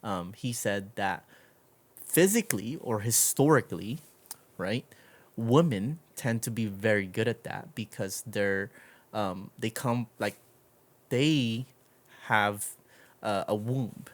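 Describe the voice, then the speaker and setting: A man's voice: fairly low pitch, slow pace. A man hosting a casual conversational interview, speaking informally with slang and loose swearing. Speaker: interview show host.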